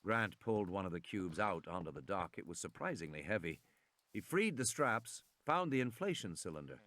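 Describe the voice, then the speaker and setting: A man reading a suspense novel as a narrator, with measured, enunciated dramatic delivery. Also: low-pitched, big pitch swings, fairly bright tone, no echo. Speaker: audiobook narrator.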